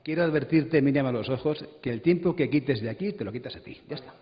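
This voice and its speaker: A man's voice: medium pitch, fast talker. A man acting as moderator of a public discussion, stepping in to steer the panel. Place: large indoor congress hall. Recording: dull, echoey hall recording.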